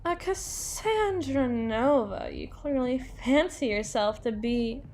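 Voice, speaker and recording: A woman's voice: high voice, animated, darkish tone, a little hiss or hum, full character voice. A woman playing a tipsy, haughty, melodramatic female boss in a flirtatious ASMR roleplay, theatrical and given to playful wordplay. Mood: challenging, flirty. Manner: theatrical, drawn-out, playful wordplay, slipping into breathy whispers. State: acting tipsy.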